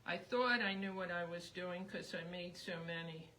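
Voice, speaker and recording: A woman's voice: lowish voice, moderate pace, pushed and bright. Middle-aged woman, sewing instructor, voice going, rambling live-demo chat, noisy recording. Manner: chatty, rambling, thinking aloud. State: losing her voice. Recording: noisy background.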